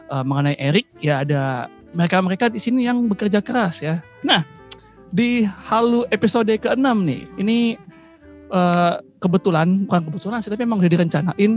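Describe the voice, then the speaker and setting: A man with an Indonesian accent and a soft, imperfect R, hosting a casual podcast in a playful, joking, boastful manner. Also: high voice, expressive, normal speed, soft background music. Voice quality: rhotacism, soft R. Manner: playfully boastful, joking, code-switches into English. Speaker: podcast host.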